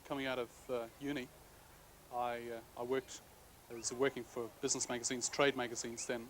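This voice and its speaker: A man from Ireland with an Irish accent softened by years in Australia, a journalist answering interview questions with many hesitations. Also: medium pitch, flat delivery, a little fast, a little hiss or hum. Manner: hesitant, many fillers and restarts. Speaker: political journalist.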